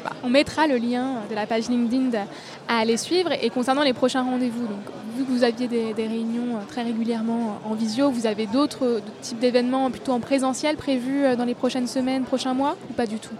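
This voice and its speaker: Woman, interviewer, fairly high voice, hesitant, long questions, crowd noise behind. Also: fairly even intonation, brisk. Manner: hesitant, many fillers, long winding questions. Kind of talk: event interview. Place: busy trade fair, outdoors or large hall. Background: crowd chatter.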